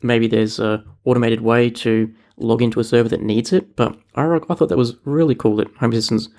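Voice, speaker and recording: A male podcast host with a gravelly, fry-tinged voice at an ordinary pitch. He talks about software updates in a relaxed, chatty way, full of small fillers and restarts. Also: brisk. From New Zealand. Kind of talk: casual tech podcast chat.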